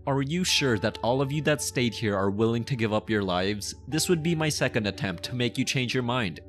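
A man's voice: mid-range voice, animated, soft background music, lightly acted. A man playing a possessive, menacing yet playful mafia boss in an ASMR roleplay, with a teasing, theatrical delivery. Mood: commanding, menacing. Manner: slow teasing, sinister chuckles, theatrical.

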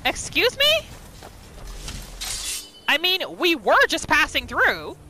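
A woman's voice: sits high, wildly swooping pitch, slow, heavy background noise. A woman hosting a gaming video, giving reactive roleplay commentary over gameplay. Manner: reactive, narrates her character in third person. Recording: faint game music behind.

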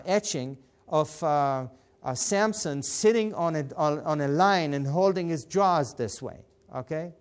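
A middle-aged man with an Israeli accent, Hebrew his first language, teaching a televised Bible lesson in a studio. He gestures a lot as he talks and often pauses on fillers. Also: a little high. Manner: animated, gesturing, with many fillers. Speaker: Bible teacher and program host. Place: indoor TV studio set.

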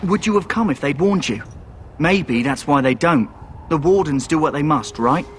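An actor playing a cheeky, pragmatic rogue recruit with a mocking manner in a fantasy game. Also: male voice, fairly high pitch, animated, moderate pace, slight background noise, close to an everyday voice. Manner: mocking, needling.

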